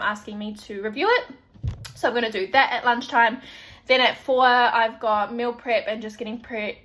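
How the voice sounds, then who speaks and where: A woman's voice: a little high, a bit bright. A young woman with a New Zealand accent, chatting casually to camera in a rambling way with lots of fillers. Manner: chatty, rambling, frequent "like" and restarts. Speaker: vlogger.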